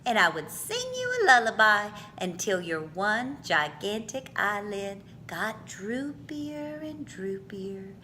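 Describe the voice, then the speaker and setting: A woman reading a picture book aloud for children in an expressive, theatrical manner with drawn-out phrasing. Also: mid-range voice, a little hiss or hum.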